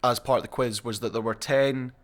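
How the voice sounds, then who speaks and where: A man's voice: mid-range voice, steady tone, brisk. A young man hosting a chatty podcast among colleagues. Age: young adult. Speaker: podcast host, shop staff.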